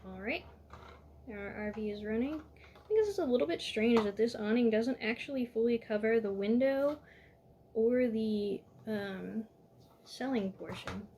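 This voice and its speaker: A woman walking viewers step by step through a toy building set in a calm, instructive way, a little hoarse from losing her voice. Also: a little high, measured pace, highs cut off, a little hiss or hum.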